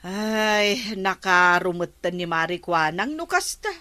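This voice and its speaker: A concerned, gently chiding villager in an Ilocano radio comedy. Her bright voice rises and falls widely at a slow pace, with an Ilocano accent and a dry sound. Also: mid-range voice, animated, dry recording. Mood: sympathetic.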